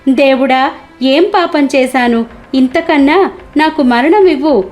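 Woman voicing a high, plaintive suffering donkey. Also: slow, a little mellow, faint music behind. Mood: despairing, pleading. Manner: plaintive, pleading lament. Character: weeping, long-suffering donkey begging for mercy. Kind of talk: cartoon moral story.

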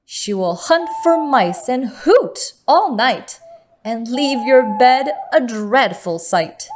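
A woman storyteller reading a picture book aloud to children in a deliberate, expressive manner. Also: fairly high pitch, a little hiss or hum.

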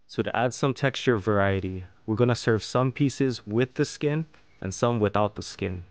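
A man narrating a fish-cutting and plating tutorial as host, explaining each step calmly. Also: lowish voice, moderate pace, smooth. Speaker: fishing and cooking channel host. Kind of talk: calm instructional voice-over.

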